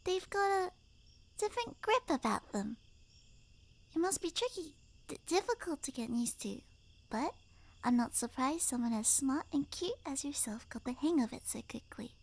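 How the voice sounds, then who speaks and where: A woman playing a sweet, obsessive necromancer girlfriend in an intimate ASMR roleplay, cooing softly and often half-whispering. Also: high voice, lively intonation, normal speed. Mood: playful, coaxing. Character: doting, obsessive yandere girlfriend turned necromancer, sweet and unhinged.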